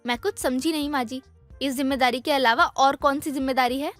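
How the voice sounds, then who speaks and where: A woman's voice: high-pitched, fairly even intonation, fairly quick, a bit bright, faint music behind. A woman playing a young daughter-in-law in a dramatized moral story, dutiful yet defensive, with an earnest, explanatory way of talking. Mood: anxious, dutiful.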